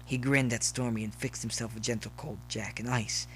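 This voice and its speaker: A man's voice: medium pitch, steady tone, moderate pace, a bit bright, poor audio. A man reading scripted story narration as the narrator. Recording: faint noise behind the voice.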